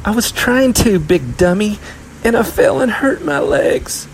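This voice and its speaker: A man's voice: high voice, sing-song, unhurried, a little hiss or hum, pitched above the performer's own voice, poor audio. A man voicing a whiny, tearful little girl with wailing protests in an audiobook. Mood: whiny, indignant. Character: whiny, tearful younger girl, frightened and indignant. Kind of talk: audiobook character voice.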